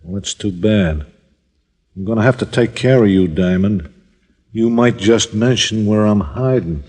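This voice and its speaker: Menacing gangster: low, clipped, threatening, 1950s radio. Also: male voice, a little slow, darkish tone. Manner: curt, threatening. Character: menacing hiding gangster man. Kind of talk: 1950s radio drama acting.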